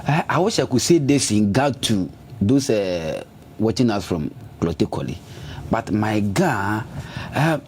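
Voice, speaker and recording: Male TV interviewer, animated delivery, stumbles and restarts, faint background noise. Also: fairly low pitch. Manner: halting, repeats words, coaxing. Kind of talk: conversational interview.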